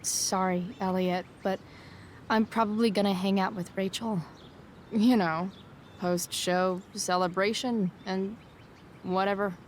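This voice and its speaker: A woman's voice: mid-range voice, steady tone, unhurried, a little hiss or hum, natural voice. A woman playing a defensive, rebellious teenage girl with dry sarcasm in a video game cutscene. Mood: awkward, evasive.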